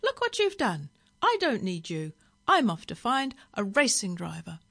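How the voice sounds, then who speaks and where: A woman's voice: mid-range voice, huge pitch swings, a little slow, a bit bright, pitched above the performer's own voice, heavily acted. A woman voicing a bossy, impatient storybook parrot with a screechy voice. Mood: scornful.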